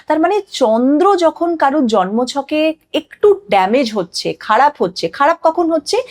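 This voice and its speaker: A woman's voice: a little high, a little mellow. A woman explaining as an astrologer guest on a podcast, teaching with emphatic repetition and mixing in English phrases. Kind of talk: explanatory podcast interview talk.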